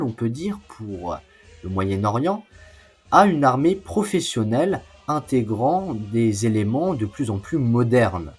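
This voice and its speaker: A man narrates history in a slow, unhurried delivery with a husky, rough-edged voice. He explains in a semi-scripted style and slips in informal asides. Faint music plays behind him. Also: mid-range voice, a little mellow.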